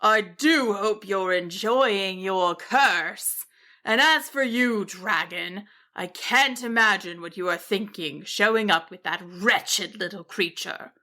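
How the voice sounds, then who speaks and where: A woman's voice: mid-range voice, takes it slowly, pushed and bright. A woman voicing a grumpy, pompous old male wizard in a storybook, snarling and huffy.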